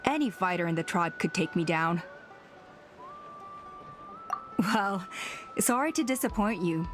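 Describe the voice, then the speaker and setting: An actress playing a kindly, gently proud older female elder in a video game, fond and unhurried. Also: fairly low pitch, lively intonation, close to an everyday voice. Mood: modest. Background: game music.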